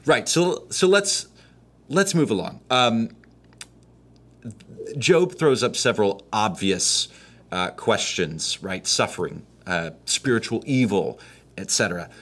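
A man's voice: fairly low pitch, expressive, slow pace, fairly bright tone. A man hosting an interview, asking long, searching questions with frequent restarts. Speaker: podcast host. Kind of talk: conversational podcast interview.

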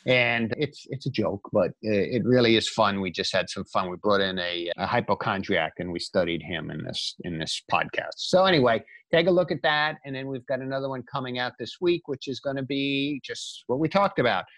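A man's voice: medium pitch, brisk, few pauses, fairly bright tone, dry recording. A man co-hosting a podcast, chatting casually and conversationally. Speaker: podcast co-host.

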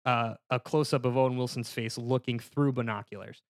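A man pitches a comedy film as a panelist, walking through it scene by scene. His delivery is halting and mock-formal, with a slightly low voice recorded very dry. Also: steady tone, dry recording. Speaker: podcast panelist pitching a movie. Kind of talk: casual comedic story pitch.

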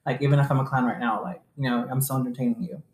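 A woman's voice: rumbling low, fairly even intonation, very fast, darkish tone. A young woman chatting casually with friends, rambling with lots of fillers. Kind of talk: casual chat. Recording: echoey room.

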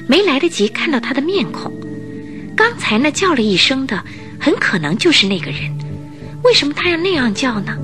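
A woman narrating a Mandarin radio drama as an expressive, scripted storyteller. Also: mid-range voice. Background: music.

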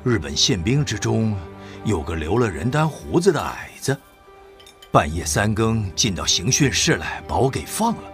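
An actor playing a weary, stoic wartime official who has survived torture, in a Mandarin audio drama. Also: male voice, fairly low pitch, big pitch swings, moderate pace, fairly bright tone, soft background music. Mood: recounting, puzzled.

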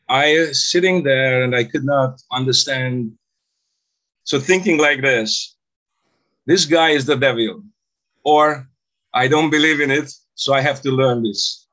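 An older man from Hungary with a Hungarian accent, telling stories casually as an interview guest in halting, non-native English phrasing. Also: medium pitch, fairly bright tone. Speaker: interview guest, stage hypnotist. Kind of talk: casual storytelling about own career.

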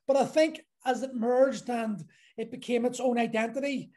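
A man hosting a relaxed podcast chat. His voice is mellow and warm, and his thoughts ramble, with many restarts and long strings of clauses. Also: very high voice, moderate pace. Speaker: podcast host. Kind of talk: casual conversational interview.

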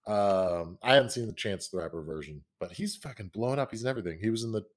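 A man co-hosting a casual podcast chat, rambling and animated, often jumping up into high put-on quotes. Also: fast. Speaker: podcast co-host.

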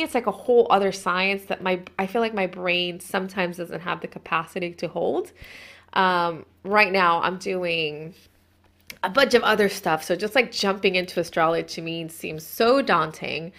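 A woman's voice: mid-range voice, fairly bright tone. Chatty woman in her thirties. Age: 30s. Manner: chatty, meandering, self-interrupting. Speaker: host. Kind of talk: casual spiritual talk to camera.

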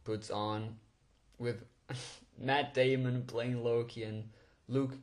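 Young man, twenties; chatty enthusiastic film vlogger, mid-pitched, quick chuckles and ums. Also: level tone. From Portugal. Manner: enthusiastic, chuckling, with many fillers. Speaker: film reviewer. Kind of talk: casual movie review monologue.

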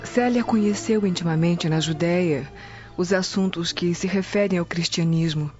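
A woman plays a worn-out, troubled Roman mother in a radio soap. Her voice is slightly low, with soft music behind and a dull, lo-fi sound. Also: moderate pace, lightly acted. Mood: weary, troubled. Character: weary, anguished Roman noblewoman and mother. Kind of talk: radio drama acting. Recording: dull lo-fi radio drama audio. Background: music bed.

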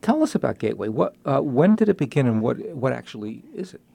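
A man's voice: mid-range voice, sing-song, fast talker, creaky, warm tone, husky. A man with a New York accent hosting a radio interview, chatty and joking at his own expense. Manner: chatty, joking, self-deprecating. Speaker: radio host. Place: radio studio.

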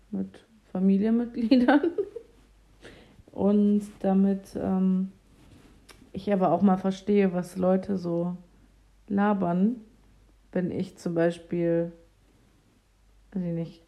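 A young woman chatting casually in a relaxed, slangy, self-deprecating way. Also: medium pitch, muffled recording. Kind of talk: casual conversation.

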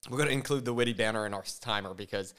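A man co-hosting a casual podcast chat while sick with a runny nose, relaxed and joking, often restarting his sentences. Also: fairly low pitch, racing, smooth. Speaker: podcast co-host.

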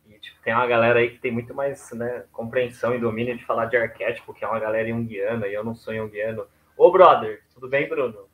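A man's voice: medium pitch, steady tone, quick pace, few pauses, phone-quality sound. A man with a Brazilian accent chatting casually as he moderates a group discussion. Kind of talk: casual chat. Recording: roomy, echoing sound with voices behind.

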